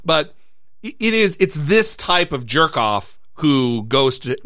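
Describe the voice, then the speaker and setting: A man with a lively, up-and-down voice rants with sarcastic exasperation. His tone is bright and forward. He swears freely into a close, dry studio mic. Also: a little high, slow, no room sound. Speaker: podcast co-host. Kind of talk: casual podcast chat.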